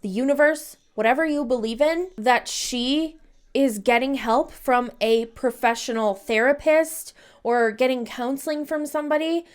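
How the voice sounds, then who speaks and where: A woman giving earnest reaction commentary, pleading with viewers. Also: high-pitched.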